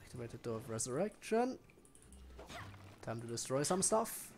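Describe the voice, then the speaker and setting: A man's voice: medium pitch, big pitch swings, moderate pace, gravelly, darkish tone, soft background music. A man giving casual live gameplay commentary, often muttering to himself as he plays. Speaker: game streamer.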